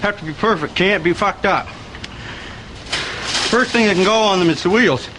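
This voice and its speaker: An actor playing a gruff trailer park man who talks bluntly and swears heavily. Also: normal speed, background noise, natural voice. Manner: low-key, blunt, heavy swearing.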